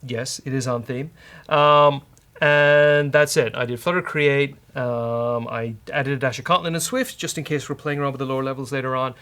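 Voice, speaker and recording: A man with an Irish accent hosting a casual live-coding demo, dry, teasing and halting. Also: mid-range voice, fairly even intonation, fairly bright tone, clear, resonant tone. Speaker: host, software developer.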